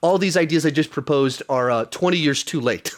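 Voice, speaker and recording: Man hosting a casual film podcast, higher-pitched. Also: brisk. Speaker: podcast host.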